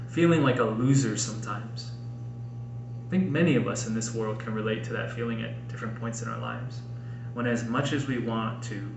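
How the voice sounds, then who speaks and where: A man in his late thirties reading his own personal writing aloud, earnestly and emphatically, with dramatic pauses. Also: medium pitch. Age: late 30s. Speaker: author and podcast host reading own book. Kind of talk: expressive audiobook-style personal narration. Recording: roomy echo, faint background noise.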